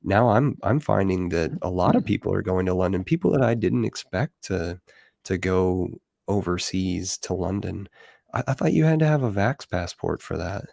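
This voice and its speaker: Man, livestream host, rambling casual talk, dry close sound, deep voice. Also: lively intonation, moderate pace, gravelly, a little mellow, no room sound. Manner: rambling, many fillers. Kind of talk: casual online chat.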